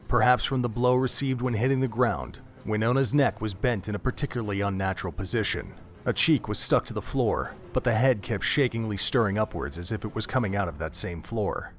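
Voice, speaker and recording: A man narrating a gruesome horror audio drama in a measured, dramatic storytelling manner. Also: fairly low pitch, a bit bright.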